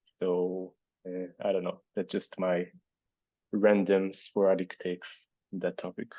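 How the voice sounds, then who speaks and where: A man with a Hebrew accent and a fairly low, mellow voice hosts a casual online panel. He thinks aloud and hesitates, restarting his sentences. The sound is dry and a bit dull, like a lo-fi video call. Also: little pitch movement, slow, dry recording. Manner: thoughtful, hesitant, many fillers and restarts. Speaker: event host reading audience questions.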